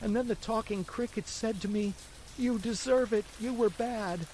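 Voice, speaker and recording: A man voicing a fussy, hungry wooden boy puppet in a storybook reading, whining and pleading in a high, excitable voice. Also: normal speed, a little hiss or hum, poor audio. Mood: plaintive.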